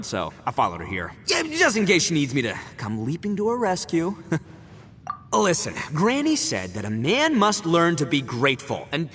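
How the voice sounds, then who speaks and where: An actor voicing a boastful, rowdy male oni gang boss in a video game, brash and quick to laugh. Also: a little high, breathy edge, full character voice. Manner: brash, loud, laughs a lot. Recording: game audio with long reverb.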